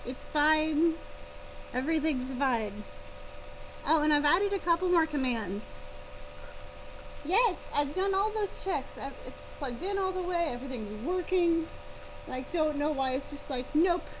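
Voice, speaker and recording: A woman's voice: sits high, lively intonation, unhurried, background noise. A woman chatting casually to livestream viewers with wry, self-deprecating exasperation, picked up by a laptop microphone. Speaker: live streamer, author. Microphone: laptop's built-in or connected microphone. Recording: buzzing laptop mic near fan. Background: fan buzz.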